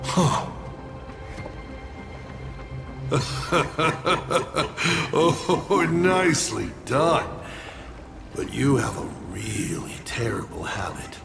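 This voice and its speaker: An actor playing a mocking, theatrical male villain in a video game, teasing and drawing out his words, with breathy dips toward a whisper. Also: medium pitch, expressive, full character voice. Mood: mocking, amused. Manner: teasing, taunting, drawn-out dramatic delivery. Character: mocking, theatrical male villain, a fallen navigator turned destroyer. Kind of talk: dramatic video game voice acting. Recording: loud game music behind. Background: game soundtrack music.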